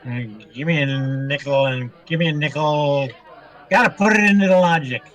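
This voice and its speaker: A man's voice: fairly high pitch, moderate pace, a bit bright, muffled recording, a faint crowd, natural voice. A man playing a maudlin, over-familiar barfly in a radio comedy, slurring and repeating himself in a drunken ramble. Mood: gleeful, wheedling. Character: maudlin, happily drunk male barfly pestering strangers.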